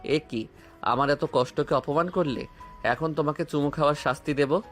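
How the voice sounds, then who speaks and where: A man's voice: on the high side, normal speed, soft background music, close to an everyday voice. A man playing a tender teasing young husband. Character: affectionate playful young husband. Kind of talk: romantic audio drama acting.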